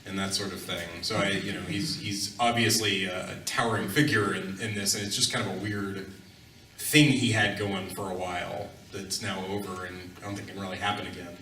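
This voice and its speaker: A man on a live convention panel, talking casually in a halting, rambling, wry way. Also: low-pitched, steady tone, sharp tone. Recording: echoey room, distant mic, faint noise.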